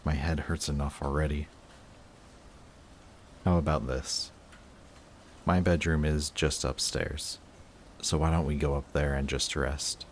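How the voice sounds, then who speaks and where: Man acting a very deep, slow-spoken lovestruck villain. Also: steady tone, slight background noise. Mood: soft, affectionate. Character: lovestruck male villain, guarded, flustered, confessing love to rival. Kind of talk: intimate audio roleplay acting.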